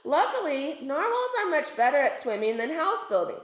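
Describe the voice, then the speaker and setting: A woman's voice: high-pitched, big pitch swings, normal speed. A woman reading a storybook aloud to children as a narrator. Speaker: storybook reader, teacher. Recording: echoey room.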